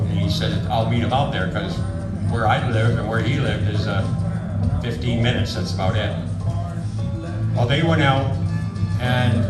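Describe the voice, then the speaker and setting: An older man with an Upstate New York accent, a former police officer telling a rambling story to a festival crowd on a handheld mic. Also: medium pitch. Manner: plain-spoken, rambling, self-correcting. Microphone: handheld microphone. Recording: echoey, from far off, loud music behind.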